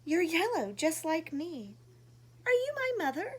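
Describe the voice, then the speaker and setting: Casting: woman performing lonely storybook baby bird, very high swooping voice, childlike and pleading. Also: sing-song, unhurried, exaggerated voice. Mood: hopeful. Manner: pleading, whiny childlike delivery. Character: lonely little bird child searching tearfully for a mother.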